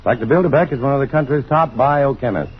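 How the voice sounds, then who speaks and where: A man's voice: mid-range voice, a little fast, husky, lightly acted. A man acting in a radio drama as a genial scientist, speaking in an easygoing, conversational way. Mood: genial, relaxed. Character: genial male scientist camping in the woods. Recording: dull, noisy old radio drama recording.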